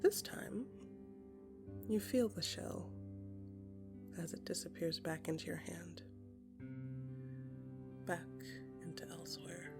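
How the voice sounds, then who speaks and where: A woman narrating scripted second-person fiction in an intimate, dramatic way, with a breathy voice that often drops into whispers and deliberate short phrases. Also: fairly low pitch, loud music behind the voice. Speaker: podcast creator and narrator.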